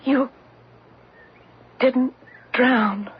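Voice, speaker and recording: A woman's voice: sits high, steady tone, extremely slow, noisy background. An actress playing a once-timid lady, now guarded and knowing, in a radio drama. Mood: guarded, stunned. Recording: dull lo-fi old radio recording.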